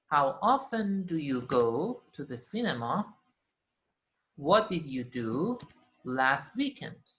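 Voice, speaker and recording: A man's voice: a little high, a bit bright. A man teaching an English class, repeating model phrases and example answers. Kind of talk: classroom lesson. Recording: roomy echo.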